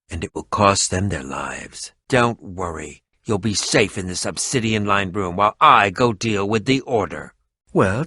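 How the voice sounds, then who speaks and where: A man's voice: mid-range voice, a bit bright, no room sound. Dramatic middle-aged male game schemer, snooty and defensive, voice jumps all over, really dry sound. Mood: ominous, hushed. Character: eccentric theatrical middle-aged male potion-brewing schemer. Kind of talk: video game character dialogue.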